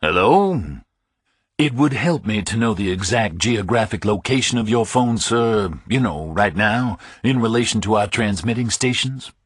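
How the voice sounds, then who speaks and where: Man voicing a deep, dry-toned detective bluffing as a phone company engineer, falsely polite. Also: expressive, normal speed, slightly rough, no echo. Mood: stalling. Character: uneasy male detective faking a phone-company call.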